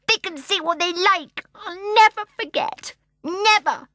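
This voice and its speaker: A woman's voice: extremely high pitch, measured pace, bright, forceful tone, dry recording, studio quality, pitched above the performer's own voice, full character voice. A woman doing a fierce, defiant little boy. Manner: fierce, insistent.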